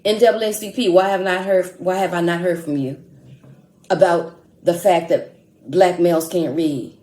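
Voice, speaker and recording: A woman's voice: fairly low pitch, steady tone, moderate pace, mellow. A woman hosting an online commentary, speaking in an emphatic, challenging way with rhetorical questions and repetition. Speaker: host of an online commentary show. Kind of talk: opinion commentary with read-aloud article excerpts. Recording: echoey room sound.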